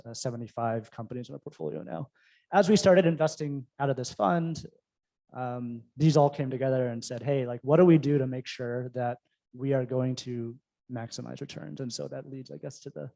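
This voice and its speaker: A man's voice: mid-range voice, fairly even intonation, fairly quick, creaky, dark tone, rough recording. A man who works as a venture investor, speaking informally and conversationally on a panel.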